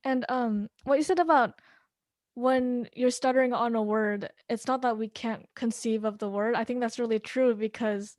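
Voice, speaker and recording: A woman hosting an interview who stutters herself, speaking with pauses and "um" fillers in a friendly chat. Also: fairly high pitch, steady tone, a little mellow, no echo, studio quality. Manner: stutters, speaks of her own blocks, pauses with "um". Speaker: podcast host. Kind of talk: casual interview conversation.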